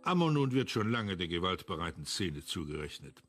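An actor playing a self-assured, analytical investigator in a German radio crime drama, speaking in a formal, clipped manner. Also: male voice, deep voice, very animated, fairly quick, fairly bright tone, faint music behind. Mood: critical, precise.

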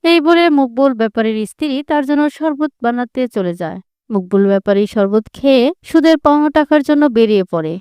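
Casting: female story narrator, high clear voice, scripted delivery. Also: expressive, a little fast, darkish tone, no echo.

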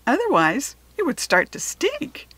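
A woman's voice: high voice, wildly swooping pitch, a little slow, fairly bright tone, breathy edge, dry recording, voice pushed up, full character voice. Woman as an eager, earnest young schoolgirl. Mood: eager, explaining. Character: eager, kind eight-year-old schoolgirl.

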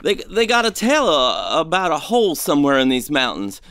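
An actor playing an uneasy, superstitious male gold prospector in an audio drama, speaking in a folksy, hesitant, excitable way. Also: a little high, a little fast, heavily acted.

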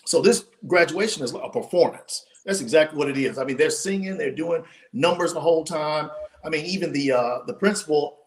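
A man's voice: on the high side, a little fast. Man telling his story on a video call. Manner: animated, storytelling with many restarts. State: losing his voice. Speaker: podcast guest, author. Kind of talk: casual interview storytelling. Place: home room, indoors.

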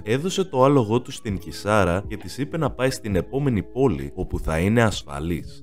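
A man's voice: on the low side, a little mellow. A man narrating a scripted story recap as the host of the piece.

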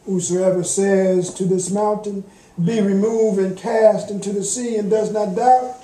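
An older man delivers a sermon in a bright, forceful voice. He places each phrase deliberately and with emphasis. The church room around him rings with echo. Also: high voice, steady tone. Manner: deliberate, emphatic preaching cadence. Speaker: guest preacher, minister. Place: church sanctuary, at the pulpit.

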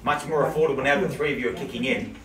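A middle-aged man calling bids at a live house auction, cracking jokes and repeating figures in a quick patter. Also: medium pitch, fairly even intonation, crowd noise. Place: living room of a house. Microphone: camera across the room. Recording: roomy, distant camera audio.

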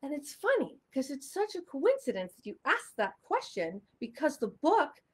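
A woman's voice: high voice, huge pitch swings, moderate pace. A young woman hosting a children's storytime, speaking expressively and enunciating for little listeners. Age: young adult. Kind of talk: animated read-aloud for young children. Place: small furnished room indoors.

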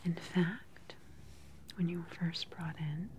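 A woman in her thirties whispering gently and methodically in an ASMR roleplay as a calm research doctor questioning a patient, close to the microphone. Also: fairly low pitch, takes it slowly, a little hiss or hum. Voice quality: breathy, unvoiced whisper.

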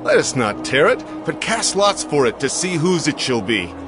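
A man's voice: a little high, sing-song, moderate pace, music in the background. A man playing a scheming Roman soldier in a dramatized Bible reading.

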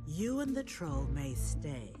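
A woman's voice: low voice, very animated, slow pace, music in the background, exaggerated voice. A woman playing a cold, power-hungry cartoon queen villainess, regal and commanding. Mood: imperious.

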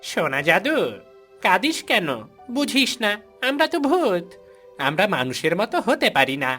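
A woman's voice: medium pitch, big pitch swings, a little slow, fairly bright tone. A woman voicing a scheming, scolding ghost minding a child in a cartoon, coaxing and theatrical. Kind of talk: cartoon voice acting. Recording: faint music behind the voice.

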